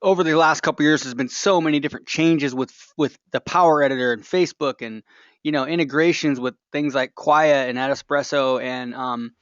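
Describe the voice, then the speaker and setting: A man hosting a webinar in a casual, unscripted way, speaking haltingly and often breaking off his sentences. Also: mid-range voice, clean tone, dry recording. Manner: hesitant, halting delivery with broken-off sentences. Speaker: webinar host. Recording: dull, lo-fi webinar audio.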